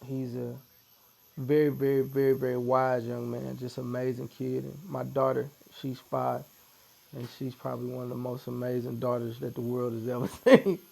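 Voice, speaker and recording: A man's voice: medium pitch, normal speed, creaky, low-quality recording. A man in his forties from Missouri, chatting casually and joking as a guest while getting tattooed. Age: 40s. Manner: relaxed, joking, laughs often. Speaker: podcast guest. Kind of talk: casual conversation. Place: tattoo studio, being tattooed.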